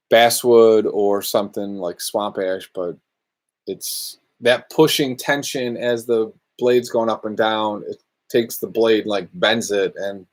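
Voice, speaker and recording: Laid-back man chatting from his workshop. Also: fairly low pitch. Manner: laid-back, rambling, answers viewer questions. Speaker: luthier podcast host. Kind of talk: casual live Q&A chat.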